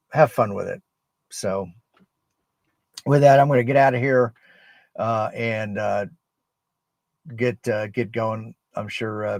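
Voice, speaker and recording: A man hosting a casual, unscripted livestream as an amateur radio operator, thinking aloud in a halting, rambling way with frequent pauses. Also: on the low side, no room sound. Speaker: live stream host and amateur radio operator.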